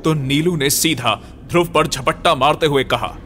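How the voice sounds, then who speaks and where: A man's voice: a little high, moderate pace, bright, forceful tone, slight background noise. A man narrating a scripted horror story dramatically. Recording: music bed behind.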